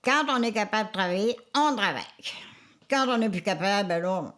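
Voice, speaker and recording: A woman's voice: mid-range voice, animated, a bit bright. Ninety-one-year-old Quebec woman reminiscing. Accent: Quebec French, Charlevoix. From Quebec, Isle-aux-Coudres. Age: 90s. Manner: rambling, halting with restarts and fillers. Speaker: interviewee.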